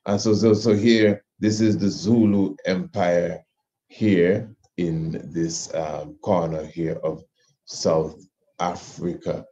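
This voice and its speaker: A man teaching an informal history class, speaking deliberately and haltingly with drawn-out words. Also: sits low, fairly even intonation, dark tone. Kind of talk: informal lesson discussion. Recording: muffled, noisy online call.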